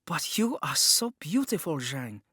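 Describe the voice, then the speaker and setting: Man voicing an earnest young acrobat girl, raised pitch. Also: sing-song, a little slow, breathy edge, no room sound, studio quality, heavily acted. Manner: earnest, hushed confiding. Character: young female acrobat, earnest, devoted friend. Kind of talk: audiobook character dialogue.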